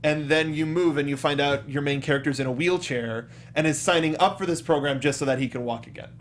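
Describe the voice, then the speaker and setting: Guy host with a kinda high voice, slangy casual gamer chat, animated storyteller. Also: a little fast. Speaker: talk show host. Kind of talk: casual chat about video games.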